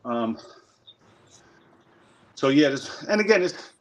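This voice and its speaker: A man's voice: medium pitch, big pitch swings, slow. A man hosting a workout stream outdoors in a park, chatting casually, rambling and swearing freely. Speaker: workout channel host.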